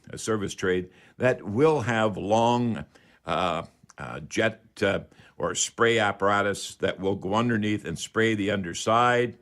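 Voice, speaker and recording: A man's voice: on the low side, fairly bright tone. A man hosting a radio call-in show, giving unscripted, instructive how-to advice and repeating key phrases for emphasis.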